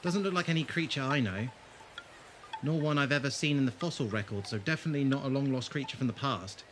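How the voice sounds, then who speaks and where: An actor playing a sardonic, sharp-minded male adventurer in a fan audio drama, dry and pointed in delivery. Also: medium pitch, a little hiss or hum, natural voice. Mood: analytical. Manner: dry, pointed, deliberate when pressing.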